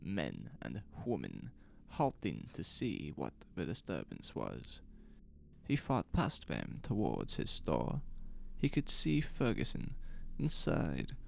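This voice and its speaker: A man narrating a scripted horror story in a deliberate, suspenseful, dramatic manner. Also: low voice, huge pitch swings, creaky. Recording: faint noise under ambient sound effects.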